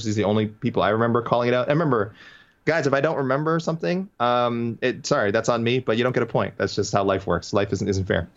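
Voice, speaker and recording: Low-voiced man on a muffled podcast. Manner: chatty, playful, reads listener messages aloud. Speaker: podcast co-host. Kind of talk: casual chat.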